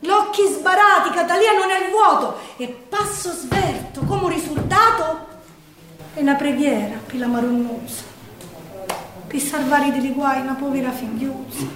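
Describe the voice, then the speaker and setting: High-voiced Sicilian woman declaiming a tragic tale. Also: moderate pace, a crowd in the background. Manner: declamatory, lamenting. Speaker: storyteller in a theatre show. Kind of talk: dramatic theatrical storytelling in verse. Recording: echoey room, distant from the mic.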